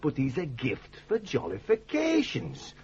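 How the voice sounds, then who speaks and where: A man's voice: fairly high pitch, huge pitch swings, unhurried, creaky, darkish tone, slight background noise, exaggerated voice. An actor playing a blunt, crafty village club spokesman with a Northern English accent in a radio drama, emphatic and folksy. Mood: blunt, explaining. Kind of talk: early-1980s British radio drama acting. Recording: dull, band-limited old radio broadcast.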